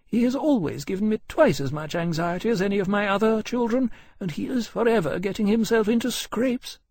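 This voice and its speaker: A man's voice: high-pitched, brisk, a little mellow, pitched above the performer's own voice. A man voicing an older aristocratic mother, genteel, gracious and mannered, in a storybook narration. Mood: fond.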